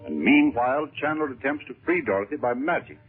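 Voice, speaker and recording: A man's voice: mid-range voice, lively intonation, brisk, faint music behind. A man narrating a 1930s radio serial as its announcer. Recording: muffled, tinny 1930s radio recording.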